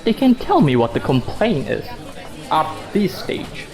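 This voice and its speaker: Man playing a sarcastic husband, wildly swinging pitch. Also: big pitch swings, unhurried, creaky, darkish tone, some chatter behind, heavily acted. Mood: tense, bitter. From Indonesia. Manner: sardonic, emphatic. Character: sarcastic, defensive suspended office worker husband. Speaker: actor in a student radio drama. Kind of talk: radio drama acting.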